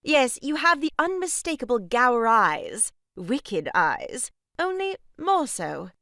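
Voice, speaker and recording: Bossy society matron, gushing and brisk, highish swooping voice for comic audio drama. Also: female voice, very animated, a bit bright, dry recording, studio quality, exaggerated voice. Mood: arch, poised. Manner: brisk, gushing, self-important. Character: bossy, gushing married sister-in-law, sharp-tongued matron. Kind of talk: stage farce audio drama acting.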